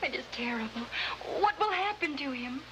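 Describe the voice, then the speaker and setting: Earnest high-voiced Latin girlfriend, old recording. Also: animated, moderate pace. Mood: distressed. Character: anxious, devoted young Latin American girlfriend of accused man. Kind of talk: early 1950s TV adventure acting. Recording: noisy old 1950s television soundtrack.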